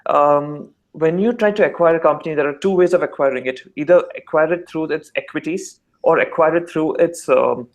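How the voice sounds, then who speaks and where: Indian-accented male lawyer, debate guest, hesitant and explanatory, slightly high voice. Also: fairly quick, hardly any pauses. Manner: explanatory, hesitant with many fillers. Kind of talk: casual online debate.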